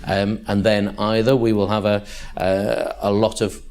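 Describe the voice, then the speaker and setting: A man's voice: on the low side, flat delivery, unhurried, a little mellow. A man from Liverpool with a Liverpool accent, speaking as a panelist at a conference in a direct, matter-of-fact way. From Liverpool, England. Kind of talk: conference talk.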